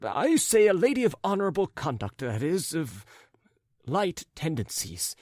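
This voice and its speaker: A man voicing a muddled, apologetic middle-aged gentleman in a story, agitated and wordy, stumbling over and correcting himself. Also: a little high, sing-song, unhurried, no room sound, studio quality, pitched above the performer's own voice. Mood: flustered, pleading.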